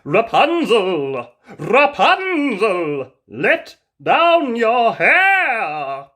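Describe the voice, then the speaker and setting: A woman playing a young storybook prince who calls out in a drawn-out, flattering, theatrical way. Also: on the high side, pitched above the performer's own voice, full character voice. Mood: calling out. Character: pleading, flattering young male fairytale prince.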